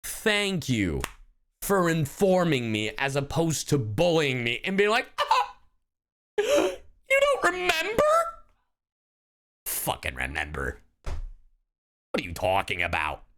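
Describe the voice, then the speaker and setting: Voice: medium pitch, wildly swooping pitch, takes it slowly, pauses often, a bit bright. A streamer giving casual live commentary in an exasperated, slangy, profanity-heavy way. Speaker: streamer reacting to game voice lines.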